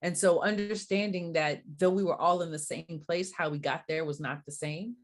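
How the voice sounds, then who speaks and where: A woman from Barbados, a diversity coach, answering interview questions in a thoughtful, measured way with frequent pauses. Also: fairly low pitch, fairly even intonation.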